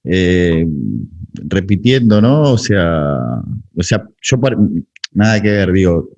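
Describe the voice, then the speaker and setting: A man hosting an interview, asking relaxed questions and adding short, friendly reactions in casual chat. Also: deep voice, takes it slowly, muffled recording. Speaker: interview host.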